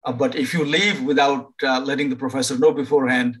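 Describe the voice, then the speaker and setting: Older man, halting talk. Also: medium pitch, steady tone, a bit bright. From Nepal. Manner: halting, many fillers and restarts. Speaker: professor. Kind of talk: informal online presentation. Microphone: video call.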